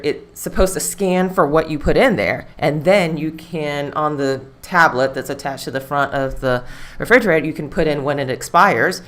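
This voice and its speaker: A woman hosts a live stream in a loose, chatty way. Her voice sits low for a woman. She rambles and circles back with fillers as she talks. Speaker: livestream host. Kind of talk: casual live-stream chat.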